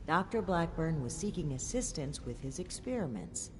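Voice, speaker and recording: A woman playing an earnest, principled military officer in a video game, speaking formally and deliberately. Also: low voice, very animated. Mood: serious, analytical. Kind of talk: scripted game dialogue. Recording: clear background noise, game ambience.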